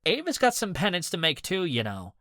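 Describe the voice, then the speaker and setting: A man's voice: sits high, big pitch swings, fairly quick, a bit bright, no room sound, clean studio sound, pitched above the performer's own voice. A man voicing a brash, sulky young man who grumbles and complains. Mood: complaining. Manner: whiny, grumbling.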